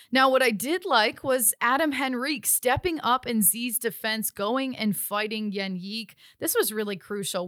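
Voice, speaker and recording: A woman's voice: on the high side, fairly bright tone, no room sound, clean studio sound. A woman hosting a hockey show with an upbeat, enthusiastic delivery, giving recaps and asking interview questions. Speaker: sports show host and interviewer.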